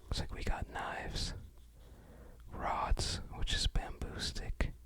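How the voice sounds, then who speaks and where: Soft whispering ASMR voice, unhurried. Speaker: ASMR video creator. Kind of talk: whispered ASMR unboxing commentary.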